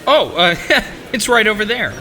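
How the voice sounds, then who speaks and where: Man as a very high-pitched nervous sidekick. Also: animated, measured pace, sharp tone, a little hiss or hum, heavily acted, poor audio. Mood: nervous, giggly. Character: nervous, giggly male sidekick faking confidence. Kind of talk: sketch comedy audio drama.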